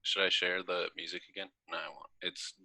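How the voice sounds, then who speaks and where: Low, bright-toned, modest man. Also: level tone, fairly quick, dry recording, low-quality recording. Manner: modest, soft-spoken, chuckling. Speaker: contestant musician. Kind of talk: casual video-call chat.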